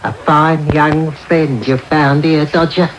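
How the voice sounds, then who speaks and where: A man's voice: on the high side, fairly even intonation, slow. An actor playing a sly, greedy old crook in a radio drama, fawning and muttering as he repeats his phrases. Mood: oily, welcoming. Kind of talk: 1990s radio drama acting. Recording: noisy medium-wave radio broadcast taped off air.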